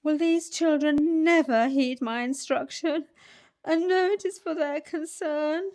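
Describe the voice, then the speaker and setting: Radio play: a woman as a slow, high-voiced Victorian schoolmistress, solemn and regretful. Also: darkish tone. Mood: sorrowful. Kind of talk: community radio play monologue.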